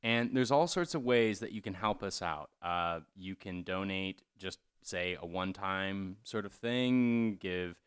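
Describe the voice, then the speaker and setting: A man's voice: deep voice, measured pace, hardly any pauses, smooth, no echo, clean studio sound. A man hosting for a theater company, making a casual appeal to listeners in informal, emphatic, stop-and-go phrasing.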